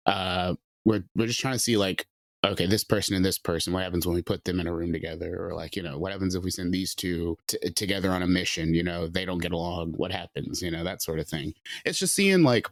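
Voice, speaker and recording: A writer, a man, talks casually and laughs easily, often restarting his sentences. His voice is very low. The sound is dry and close. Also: fast talker, dry recording. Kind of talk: casual interview.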